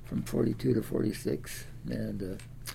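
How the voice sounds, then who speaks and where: A man of ninety with an American Midwestern accent, reminiscing in a halting, rambling way with repeated words. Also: on the low side, steady tone, creaky, warm tone, slight background noise. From Des Moines, Iowa, United States. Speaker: interviewee, World War Two veteran. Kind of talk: oral history reminiscence.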